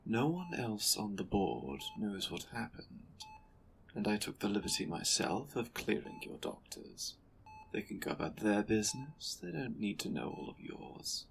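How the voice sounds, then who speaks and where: An imperious board leader, acted by a man, condescending and menacing, with very swooping, animated pitch. He speaks slowly and deliberately, as in an audio drama, over a faint bed of ambience. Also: creaky, slight background noise, full character voice, poor audio. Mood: dry, probing. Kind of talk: audio drama roleplay acting.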